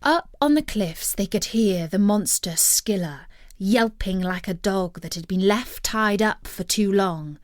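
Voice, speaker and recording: A woman storyteller reads a scripted tale for children. Her pace is slow and measured, her delivery expressive, and her pitch sits in a middle range. The recording is very dry. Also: dry recording.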